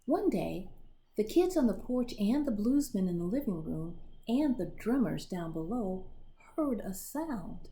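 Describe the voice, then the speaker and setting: A woman reading a children's picture book aloud in an expressive storytelling manner, pausing to show the pictures. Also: medium pitch, a little hiss or hum. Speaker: storybook reader.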